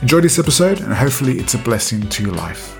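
A man hosting a casual podcast interview, relaxed and jokey, laughing easily. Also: mid-range voice, fast talker, creaky, roomy sound, faint music behind. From Central America. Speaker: podcast host.

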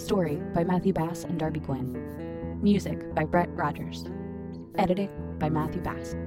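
A woman's voice: fairly low pitch, very animated, normal speed. Woman announcer over guitar music. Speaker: announcer reading credits. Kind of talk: scripted narration.

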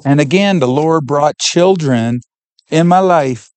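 A man from Canada, a podcast guest giving an earnest, heartfelt faith testimony and pausing to search for words. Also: on the high side, a little mellow, clear, resonant tone, no room sound.

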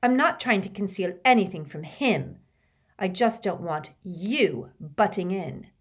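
A sulky, defiant teenage boy wizard from a storybook, voiced by a woman reader. He sneers and snaps back, his voice pushed up and louder than the narration. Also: medium pitch, expressive, a little slow, breathy edge. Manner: sneering, resentful.

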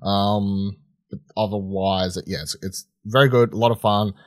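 A man's voice: lowish voice, fairly even intonation, moderate pace, no room sound, studio quality. A man co-hosting a podcast, giving casual, unscripted film opinions in a rambling, conversational way with some swearing. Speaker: podcast co-host reviewing films and series.

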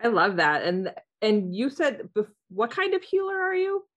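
A woman's voice: on the high side, very animated, moderate pace, a bit bright. A woman hosting a podcast, chatting in an upbeat way that is full of "like". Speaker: podcast host. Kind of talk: podcast interview.

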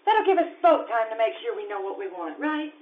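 Lady playing a sweet teasing wife n mom, high voice, live radio comedy, kinda muffled recording. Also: very animated, fairly quick. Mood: hesitant, measured. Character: gentle, coy, teasing wife and mother. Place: live theatre stage. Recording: dull lo-fi live stage recording.

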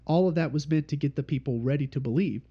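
A man with a slightly high voice teaches an informal study group, explaining patiently and circling back to his main points. His nose is stuffed up from congestion. The recording is dull and lo-fi. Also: husky. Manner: steady explanatory teaching, pausing to repeat points.

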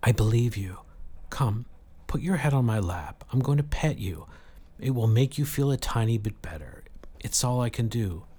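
A man's voice: mid-range voice, moderate pace, mellow, breathy edge, close to an everyday voice. A man voicing a caring but fierce woman in a story, speaking plainly and protectively. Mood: soothing.

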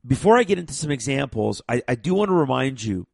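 A man hosts his own program with a raspy voice worn by a cold. His tone is gravelly and fry-laden, the sound close and dry. He talks with heavy stress and keeps stumbling into restarts. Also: mid-range voice, big pitch swings, fast, dry recording. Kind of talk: emphatic monologue to viewers.

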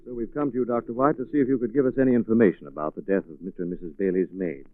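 Man acting gentleman detective, low voice, measured pointed questions, 1940s radio drama, thin phone-like sound. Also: steady tone, no room sound, faint music behind, lightly acted. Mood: probing. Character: suave, probing amateur sleuth, gentleman investigator. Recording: muffled 1940s radio recording, phone-like band.

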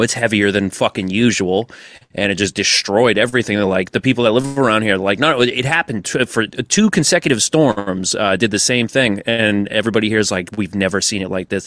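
A man's voice: fairly low pitch, fairly even intonation, brisk, fairly bright tone, no echo. A man from Massachusetts co-hosting a podcast, chatting loosely and swearing freely. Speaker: podcast co-host. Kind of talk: casual podcast chat.

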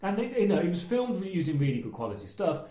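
A man co-hosting a casual film podcast, enthusiastic and rambling, a little hoarse as he is losing his voice. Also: high voice, big pitch swings, fast talker, mellow, echoey room. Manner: enthusiastic, rambling, many restarts. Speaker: podcast co-host.

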